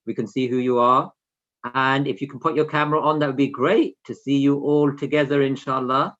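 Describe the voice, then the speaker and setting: A man with a mid-pitched voice hosts an online session for children. He speaks informally, addressing attendees directly with instructions and questions. The video-call sound is a little dull and lo-fi. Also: steady tone, brisk, fairly bright tone.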